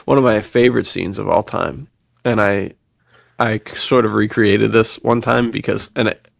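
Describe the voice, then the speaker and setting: A man with a fairly low, creaky voice, recorded close and dry. He chats casually like a podcast host among friends, telling stories in loose slang and acting out little bits of dialogue. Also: moderate pace, dry recording. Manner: slangy, animated storytelling with quoted dialogue and frequent laughter. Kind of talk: casual chat, telling personal anecdotes.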